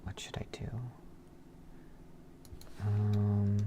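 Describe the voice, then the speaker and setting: A man live-streaming as a programmer, thinking aloud in a halting mutter as he works, half talking to himself. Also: fairly low pitch, monotone, music in the background. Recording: keyboard clicks and background noise. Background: computer keyboard typing and clicking.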